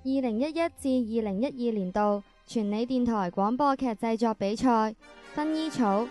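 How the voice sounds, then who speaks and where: A woman announcer delivers scripted radio drama credits. Her voice is a little higher than average. Soft music plays behind her. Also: normal speed. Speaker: closing announcer.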